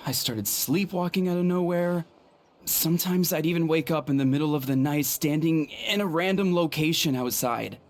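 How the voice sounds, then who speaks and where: An actor playing a weary, worried young knight in a video game, hesitant and earnest. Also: male voice, fairly high pitch, normal speed, close to an everyday voice. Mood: worn, anxious. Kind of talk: video game character acting.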